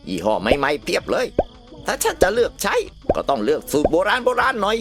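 Cartoon granny acted by a woman: old, cranky, brash, fast-talking, hugely animated intonation. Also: low-pitched, over music, full character voice. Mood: grumbling, opinionated. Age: older. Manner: brash, scolding, cursing bluntly. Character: cranky, foul-mouthed old village grandmother. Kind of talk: cartoon comedy dialogue.

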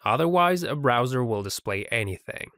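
A man presenting a scripted software tutorial voice-over, upbeat and giving step-by-step instructions. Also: mid-range voice, animated, moderate pace, no room sound, clean studio sound.

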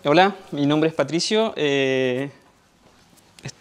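A man's voice: on the high side, slow, over music. A young Chilean man with a Chilean Spanish accent giving a tech conference talk on stage, hesitant, with many fillers and restarts. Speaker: conference speaker. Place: dark auditorium stage.

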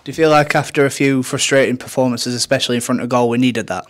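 Male sports interviewer, medium pitch, asking conversational post-match questions for a football club. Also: steady tone, fast talker. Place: indoors, off camera.

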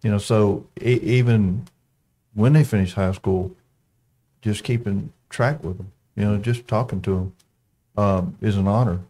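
A man making informal, halting remarks at a board meeting, restarting his phrases. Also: fairly low pitch, steady tone, mellow. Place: school board meeting room.

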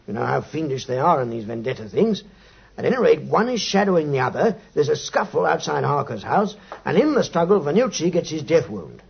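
A man's voice: on the high side, big pitch swings, fairly quick, gravelly, husky, full character voice. A male actor with a British accent playing a cocky, self-satisfied police inspector who talks boastfully in a 1950s radio drama. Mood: smug, boastful. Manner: boastful, emphatic. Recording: dull 1950s radio recording.

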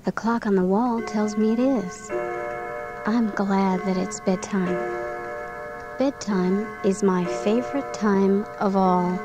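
A woman narrating a guided bedtime relaxation for children in a gentle, soothing, unhurried manner. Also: medium pitch, fairly even intonation, over music. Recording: clearly noisy recording with background ambience.

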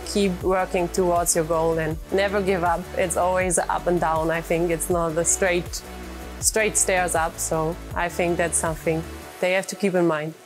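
A woman in her early twenties from Germany with a German accent, talking as a rider in a documentary interview. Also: fairly low pitch. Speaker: show jumping rider. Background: background music.

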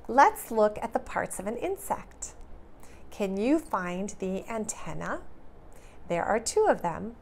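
A woman speaks as a preschool teacher to small children, reading aloud and explaining in simple terms. Her pace is slow and careful, with a creaky edge to her mid-pitched voice. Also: sing-song. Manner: clear simple questions, careful teacherly delivery. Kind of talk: read-aloud lesson for young children.

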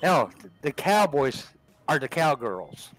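Blunt, fairly high-voiced man on a call. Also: sing-song, slow pace, a bit bright. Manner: blunt, repeats words, plain-spoken. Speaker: caller on a panel. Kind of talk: casual chat on a video call.

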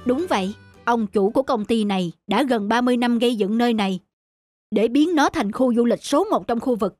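Courteous young cartoon husband. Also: male voice, very high voice, a little fast, darkish tone, no echo. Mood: composed. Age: young adult. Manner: courteous, earnest. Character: polite, composed young businessman husband. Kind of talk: cartoon voice acting. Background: faint music.

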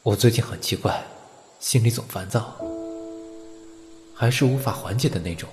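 A young man playing a gruff, irritable teenage older brother with a brusque, grumbling delivery in an audio comic drama. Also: lowish voice, moderate pace, over music, close to an everyday voice. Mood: brooding.

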